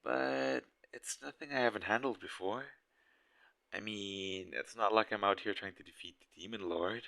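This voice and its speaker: A man's voice: on the low side, normal speed, creaky, sharp tone, no echo. A man playing a shy, smitten game developer on a date in a romantic audio roleplay, speaking nervously and hesitantly straight to the listener. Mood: teasing, relieved. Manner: awkward, nervous, hesitant and stammering.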